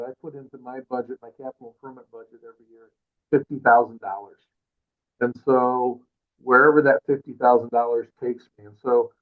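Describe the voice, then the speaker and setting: A man with an Upper Midwest American accent talks through school facilities budgets in a modest, rambling, down-to-earth way. His voice comes through thin and tinny, as if over a phone line, and he sits at a middle pitch. He is speaking alone in his office. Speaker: co-host, school facilities manager. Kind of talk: casual webinar talk.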